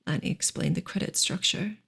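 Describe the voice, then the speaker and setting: A woman reading a novel aloud as ASMR in a soft, breathy whisper, with slow, gentle, measured delivery. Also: on the low side. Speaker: ASMR reader of a classic novel.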